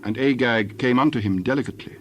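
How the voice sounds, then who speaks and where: Man narrating scripture, mid-pitched, measured. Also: a little hiss or hum.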